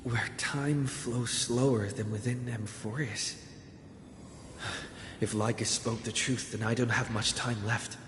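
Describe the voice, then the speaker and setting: A man's voice: medium pitch, steady tone, slow pace, noisy background, close to an everyday voice. A man voicing an earnest young video game hero who sounds hesitant, as if thinking aloud. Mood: pensive, uneasy. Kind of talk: dramatic video game voice acting. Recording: echoey reverb, music underneath.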